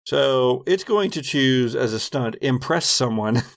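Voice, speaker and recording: A man running a horror tabletop game as game master, narrating in a deliberate, descriptive way with pauses mid-sentence. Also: medium pitch, animated, vocal fry, no room sound.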